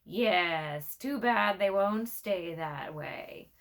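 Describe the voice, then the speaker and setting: A morose storybook raven, voiced by a woman reading aloud, foretelling doom with gloomy deliberation. The delivery is ominous and fatalistic, with expressive rises and falls. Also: medium pitch, drawn-out words, gravelly, exaggerated voice.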